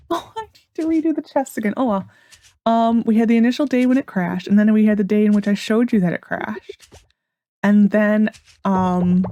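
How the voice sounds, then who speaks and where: A young woman with a hoarse, sore voice, rambling through casual gameplay commentary with frustrated sarcasm. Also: on the high side, mellow, dry recording. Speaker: gaming YouTuber.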